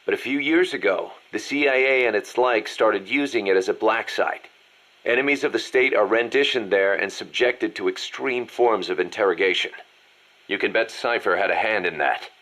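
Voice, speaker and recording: A man playing a gruff, serious military second-in-command in a dramatic game audio scene, speaking with emphasis. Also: lowish voice, normal speed, a bit bright, lightly acted, low-quality recording. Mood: grave, explanatory. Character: gruff, urgent military second-in-command briefing his boss.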